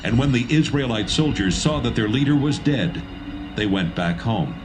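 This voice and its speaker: Man, scripted Bible narration, measured, music under the voice. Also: mid-range voice, animated.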